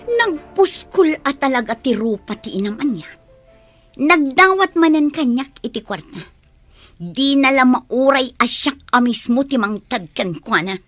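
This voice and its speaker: A woman's voice: high voice, expressive, measured pace, a bit bright, soft background music, full character voice. A woman with an Ilocano accent acting an exasperated, outspoken young man's partner in a radio drama, with a complaining, sighing delivery. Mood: resentful, complaining.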